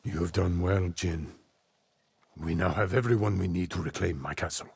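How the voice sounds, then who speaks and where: A man acting a stern, dignified middle-aged samurai lord in a game cutscene, speaking formally with measured command. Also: low voice, creaky, a little mellow, breathy edge, no echo, exaggerated voice. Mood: composed.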